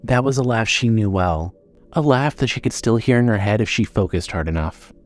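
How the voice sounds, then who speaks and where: A man's voice: lowish voice, brisk. A man narrating a scripted horror story as the narrator of an audio drama.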